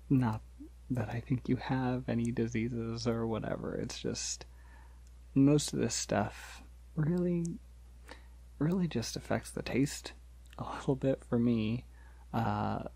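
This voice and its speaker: A polite, slightly awkward male vampire, played by a man in a soft-spoken ASMR roleplay. He talks slowly and hesitantly, reassuring the listener. The voice is breathy and husky, with a crackle of fry. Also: medium pitch, exaggerated voice. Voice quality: breathy, often dropping into whisper. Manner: hesitant, reassuring, softly chuckling.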